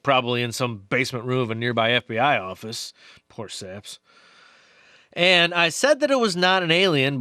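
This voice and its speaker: A man's voice: mid-range voice, pushed and bright, clean tone, dry recording, studio quality. A man playing an insistent, knowing research biologist in an audio drama, explaining things emphatically.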